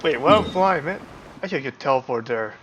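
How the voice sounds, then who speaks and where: A man's voice: mid-range voice, lively intonation, normal speed, dull audio, noisy background. A man chatting casually on a game livestream, rambling and thinking aloud. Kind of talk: casual livestream chat and reactions. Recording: game music behind.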